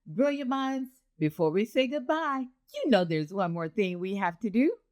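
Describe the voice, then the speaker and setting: Animated woman hosting children's storytime. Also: medium pitch, normal speed. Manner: encouraging, instructive, addresses young children with questions. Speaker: children's read-aloud host and teacher.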